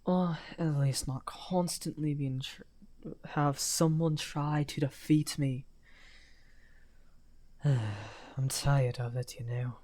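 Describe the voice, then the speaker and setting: A misunderstood villain wizard, acted by a man for a fantasy audio roleplay, speaks very slowly in a mellow tone. He is weary yet amused, teasing the listener with soft, half-whispered menace. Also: fairly high pitch.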